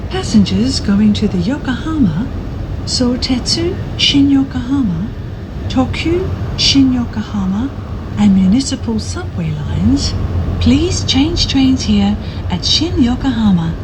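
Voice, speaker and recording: Woman, formal scripted announcements, slow and deliberate, faint moving-train noise behind her. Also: a little high, very animated. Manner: measured, formal, courteous. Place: inside a moving bullet train. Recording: noisy train cabin, announcement over speakers. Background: train running noise.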